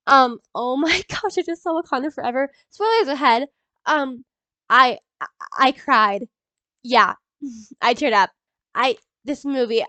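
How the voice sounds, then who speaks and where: A young woman with a high voice and a stuffy, congested nose from a cold, chatting excitedly to camera. She rambles, restarts and laughs between thoughts. The sound is close and dry, with no room around it. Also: dry recording. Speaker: YouTuber. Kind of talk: casual movie reaction vlog.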